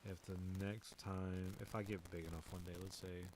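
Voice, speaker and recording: A man's voice: sits low, level tone, normal speed, noisy background. A young man hosting his own podcast, rambling casually with lots of fillers, restarts and swearing. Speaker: podcast host. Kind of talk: casual solo talk.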